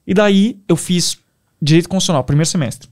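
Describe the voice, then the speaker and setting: A man's voice: sits high, a little fast. Enthusiastic young Brazilian man. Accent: Brazilian Portuguese. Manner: animated, enthusiastic storyteller. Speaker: podcast guest. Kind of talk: casual storytelling.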